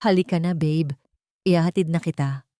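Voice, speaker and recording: A woman's voice: sits low, fairly even intonation, normal speed, mellow, dry recording, studio quality, natural voice. Woman voicing a scornful, bossy young husband. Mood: curt.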